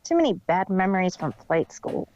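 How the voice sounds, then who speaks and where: A woman voicing a brash young female pegasus pony who is gruffly caring and casual, in a storybook reading. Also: on the low side, sing-song, normal speed, darkish tone, dull audio. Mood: reluctantly kind.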